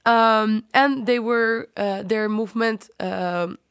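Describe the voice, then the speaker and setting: A woman's voice: fairly high pitch, fairly even intonation, slow, a bit bright, smooth, no echo, studio quality. A young woman from Spain with a Spanish accent, explaining things informally as a podcast guest. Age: young adult. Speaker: guest, student.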